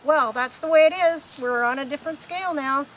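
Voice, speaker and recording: A breezy space-travelling researcher played by a woman in a comic radio play. The voice is high and casual, explaining matter-of-factly over a noisy background. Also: fairly quick, lightly acted. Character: breezy, unflappable alien guidebook researcher, matter-of-fact. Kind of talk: amateur radio play comedy acting.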